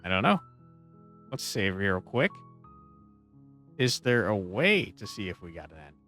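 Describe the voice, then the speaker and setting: A man's voice: fairly low pitch, big pitch swings, measured pace, sharp tone, faint music behind. A man hosting a gaming video with casual, informal, enthusiastic commentary. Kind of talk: casual game commentary, sometimes reading game text aloud.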